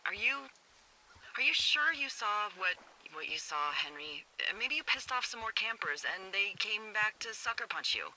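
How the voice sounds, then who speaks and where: A woman's voice: medium pitch, sing-song, moderate pace, sharp tone, low-quality recording. A woman playing a wry, profane fire lookout supervisor over a two-way radio, in natural, conversational video game acting with stammered repetitions. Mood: hesitant, doubting. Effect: two-way radio filter. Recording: walkie-talkie sound with faint noise.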